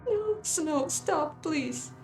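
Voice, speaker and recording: Woman acting a frantic, high-pitched young noblewoman. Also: expressive, extremely slow, exaggerated voice. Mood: pleading. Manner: frantic, pleading exclamations. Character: terrified young noblewoman crying out for help. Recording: roomy echo, music behind.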